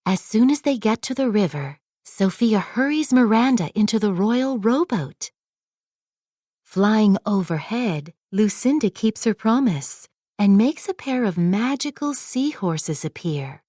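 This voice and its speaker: Female storybook narrator, lively and expressive, unhurried pace, close dry sound. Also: mid-range voice, no room sound. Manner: expressive read-aloud delivery. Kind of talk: scripted children's story narration.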